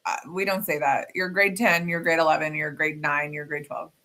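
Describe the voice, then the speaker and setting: A woman's voice: low-pitched, fairly even intonation, moderate pace, sharp tone. A woman in her thirties or forties with a Canadian accent, chatting casually as a podcast co-host. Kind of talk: casual chat.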